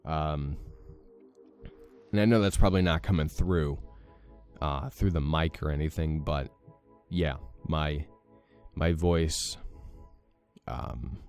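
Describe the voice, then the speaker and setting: Young guy w/ deep morning voice, rambly let's play chat, smooth and clear. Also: slow, long pauses, a little mellow, faint music behind. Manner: rambling, many fillers, self-deprecating. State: morning voice with a crack. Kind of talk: casual gameplay commentary.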